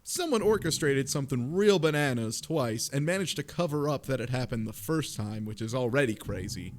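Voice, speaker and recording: A man's voice: mid-range voice, expressive, a little fast. A man co-hosting a podcast, giving opinions in a blunt, sweary, informal way. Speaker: podcast co-host. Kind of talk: casual opinionated discussion. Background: background music.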